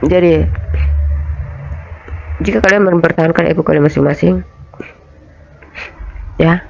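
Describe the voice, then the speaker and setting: A woman's voice: sits low, steady tone, measured pace, gravelly, highs cut off, faint music behind. A woman with a cold hosting a casual tarot reading from a small bedroom, hesitant with many fillers and restarts. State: coughing, has a cold. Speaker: tarot reader, channel host.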